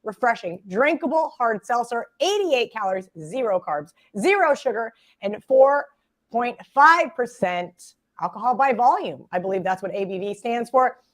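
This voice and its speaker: Raspy woman, fairly high, lively joking podcast host. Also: moderate pace. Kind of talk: sports betting podcast chat.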